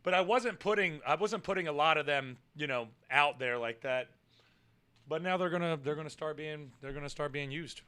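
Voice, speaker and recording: A man chats his way through a livestream while his voice is hoarse and worn from yelling. His tone stays bright and smooth underneath, sitting a little high. He rambles, swears casually, and jumps into loud excited bursts. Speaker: livestream show host. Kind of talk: casual livestream chat with viewers.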